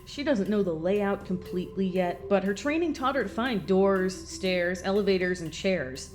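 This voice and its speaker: A woman's voice: mid-range voice, lively intonation, moderate pace, darkish tone, soft background music, close to an everyday voice. Friendly guide dog owner played by a woman. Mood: modest. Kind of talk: audio drama dialogue.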